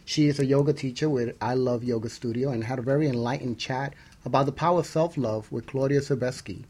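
A man's voice: medium pitch, brisk, darkish tone, slightly rough. A middle-aged man co-hosting a radio talk show, chatty and upbeat, affirming as he goes, with some scripted reading.

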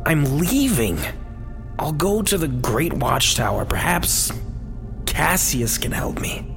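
A man's voice: fairly high pitch, sing-song, takes it slowly, creaky, music in the background, full character voice. A man playing an earnest, worried archangel in an audio drama. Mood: earnest pleading.

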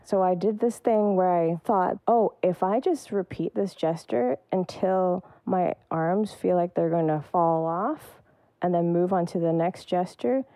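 Soft-spoken woman with a warm, low voice. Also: a little slow, dry recording, poor audio. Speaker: percussionist guest. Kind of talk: reflective interview answers.

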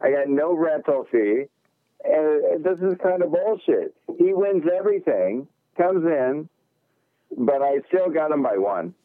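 A man's voice: fairly high pitch, animated, a little slow, dry recording. A man in his forties, calling in to the show and trading profane, joking banter. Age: 40s. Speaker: regular co-host on a call-in. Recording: tinny phone line.

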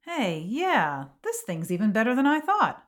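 A woman's voice: fairly high pitch, sing-song, unhurried. Woman acting a swooping, grandiose, zealous oddball co-host. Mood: smug. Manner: grandiose, emphatic, argumentative. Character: flighty theatrical woman dabbling in homemade magic, zealously absurd. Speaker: podcast co-host. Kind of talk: scripted comic podcast banter.

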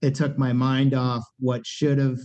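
A middle-aged man with a distinctly nasal voice, guesting on a podcast as a punk singer. He rambles openly, circling back with fillers and restarts. The recording is dull and lo-fi, at an everyday pitch. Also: flat delivery, a little slow, darkish tone. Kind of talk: casual podcast conversation.

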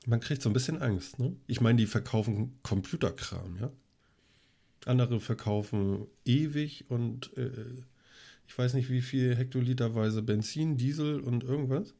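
A man's voice: mid-range voice, normal speed, vocal fry, warm tone. A man hosting a casual tech podcast, chatty and rambling, with a cold that makes him cough. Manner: chatty, rambling with many fillers. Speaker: podcast host. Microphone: studio microphone on a long cable.

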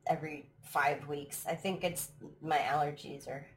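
A woman's voice: deep voice, fairly even intonation, moderate pace, slight background noise. A woman hosting a casual live stream, chatty and laughing often, her voice a little throaty from allergies. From United States. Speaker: live stream podcast host. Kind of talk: casual chat. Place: at home abroad, live stream.

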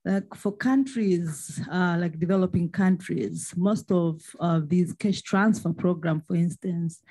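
A woman with a Tanzanian accent asking a question and commenting at a seminar, with hesitant, pause-filled phrasing. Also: on the low side, dark tone, slightly rough. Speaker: social protection expert, audience member.

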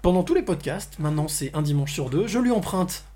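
A man's voice: sits high, expressive, fairly quick, mellow, slight background noise. A man hosting a conversational podcast interview, often repeating and rephrasing as he goes. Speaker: podcast host.

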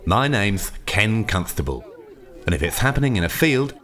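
A man's voice: lowish voice, very animated, normal speed, a bit bright. Man playing a hearty rural sports reporter. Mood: hearty, bumptious. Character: jolly, bumptious British rural sports reporter.

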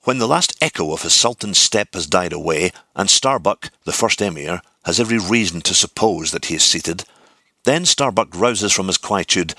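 Guy reading an old novel aloud, really low voice but sharp and forceful, deliberate dramatic delivery. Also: animated, no room sound, clean studio sound. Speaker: audiobook reader. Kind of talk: scripted literary narration.

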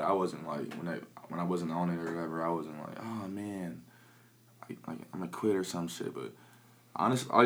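Young man, rapper, very deep low voice, relaxed, mumbly, lots of fillers. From Peoria, Illinois. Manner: laid-back, mumbly, frequent "like" fillers and swearing. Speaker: rapper and producer. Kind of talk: casual interview chat.

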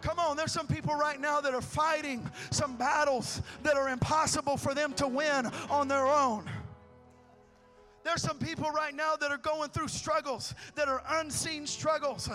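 A man preaching a sermon in a church, moving from quiet teaching to shouted, fervent prayer. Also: very high-pitched, fairly even intonation, normal speed, soft background music. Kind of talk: sermon with altar call prayer. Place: church sanctuary.

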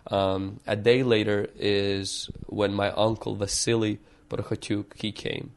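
A man from Ukraine with a somewhat low voice speaks earnestly and reflectively. He is a pastor guest who leads a prayer and then recounts his story, restarting phrases as he searches for words. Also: little pitch movement, a little slow, vocal fry. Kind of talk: opening prayer, then storytelling interview answers.

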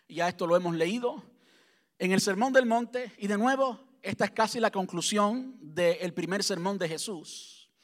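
A man's voice: sits high, a bit bright. A man from Cuba with a Cuban Spanish accent, preaching a sermon as pastor and driving his points home with rhetorical questions and repetition.

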